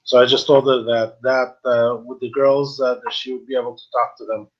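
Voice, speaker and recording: A man in his fifties hosting a webinar on a video call, informal and halting as he reads and answers viewer questions, switching between languages. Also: mid-range voice, flat delivery. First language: not Spanish, though speaking it at times. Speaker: webinar host. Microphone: video call, computer microphone. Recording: slightly dull video-call audio.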